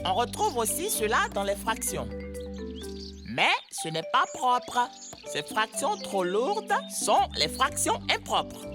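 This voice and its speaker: A woman's voice: a little high, huge pitch swings, slow, exaggerated voice. A woman voicing a bossy, motherly bird teacher in an educational children's cartoon, emphatic and didactic. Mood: explaining. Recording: music under the dialogue.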